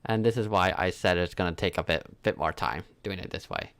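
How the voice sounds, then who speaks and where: A man's voice: low-pitched, brisk, a bit bright. A man hosting a livestream, chatting casually and thinking aloud in an offhand way as he works. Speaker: livestream host, 3D artist. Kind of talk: casual livestream chat while working.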